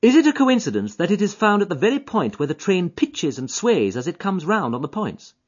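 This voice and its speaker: A man doing a keen high-voiced detective. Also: sing-song, slightly rough, heavily acted. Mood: eager. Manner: quick, emphatic, reasoning aloud. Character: brilliant eager male detective, keen and high-strung. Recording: dull lo-fi audiobook recording.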